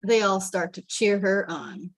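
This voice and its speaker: A woman's voice: medium pitch, measured pace, gravelly, no echo. A woman reading out stage directions as the narrator of a sitcom table read. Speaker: script narrator.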